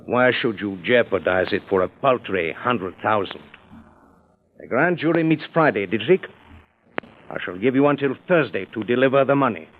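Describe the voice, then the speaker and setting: A man's voice: medium pitch, lively intonation, moderate pace, slight background noise, lightly acted. An actor playing a smug, suave male blackmailer in an old-time radio crime drama, speaking with mocking politeness. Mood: mocking threat. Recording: muffled, narrow old radio recording.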